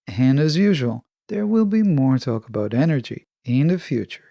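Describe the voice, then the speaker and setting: A man hosting a self-help podcast, delivering a scripted monologue in a measured, instructive manner. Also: mid-range voice, sing-song, gravelly, warm tone, no echo, studio quality. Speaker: podcast host.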